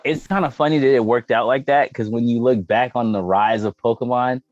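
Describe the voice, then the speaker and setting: A man chatting casually as a gaming show host, slangy and opinionated, given to excitable interjections. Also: mid-range voice, brisk. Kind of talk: casual opinionated chat about video game movies. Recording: dull, echoey room, faint music behind.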